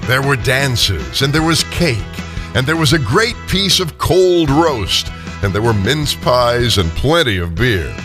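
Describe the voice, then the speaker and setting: Narrator, older man: expressive storyteller, animated intonation, deliberate phrasing, faint music underneath. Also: mid-range voice. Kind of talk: scripted dramatic storytelling narration.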